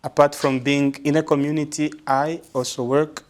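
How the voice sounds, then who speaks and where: A man from Congo, answering interview questions as a religious brother and psychologist, speaking in a measured, thoughtful way with many pauses and fillers. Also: on the high side, flat delivery.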